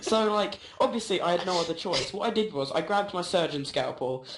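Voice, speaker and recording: Young man, rambling absurd storyteller, slightly high voice, roomy echo. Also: animated, a little fast, noisy background. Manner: rambling, giggly, filler-heavy. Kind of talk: absurd improvised comedy story. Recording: echoey room.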